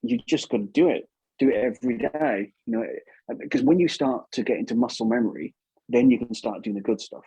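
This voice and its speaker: A man's voice: mid-range voice, sing-song, fairly quick, gravelly, mellow, slightly rough, no echo, poor audio. A man giving casual advice as an interview guest, chatty and repeating himself for emphasis. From England.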